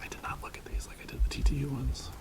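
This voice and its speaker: A man chairing a school committee meeting in a meeting room, talking in a loud, joking, conversational way. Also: racing, whispered. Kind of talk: running a public meeting.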